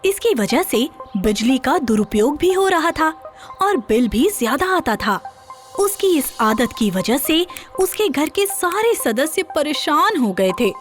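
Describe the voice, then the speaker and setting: A woman's voice: sits high, big pitch swings, a little slow, faint music behind. A woman narrating a scripted children's story as its storyteller.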